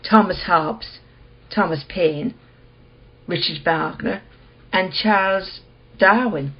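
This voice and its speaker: Woman as husky, enigmatic curio dealer. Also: on the low side, takes it slowly, lo-fi sound. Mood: unruffled. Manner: understated, unruffled. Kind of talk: radio play acting.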